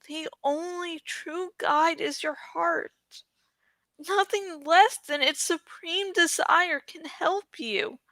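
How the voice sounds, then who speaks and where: A woman voicing a wise female dragon who gives calm, solemn, measured counsel in a storybook reading. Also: extremely high pitch, dry recording, pitched above the performer's own voice, full character voice. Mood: solemn, consoling.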